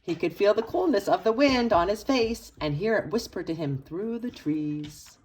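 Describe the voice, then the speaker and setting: A woman reading a children's picture book aloud expressively, with dramatic emphasis and pauses for young listeners. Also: medium pitch, expressive, darkish tone, poor audio. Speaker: storybook reader for children's church.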